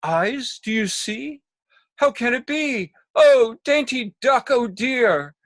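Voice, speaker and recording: Melodramatic doomed lover in a comic stage play; slow, declamatory, overwrought, close dry sound. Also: mid-range voice, lively intonation, a bit bright, dry recording. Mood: horrified anguish. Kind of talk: hammy amateur stage acting. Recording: home video call recording.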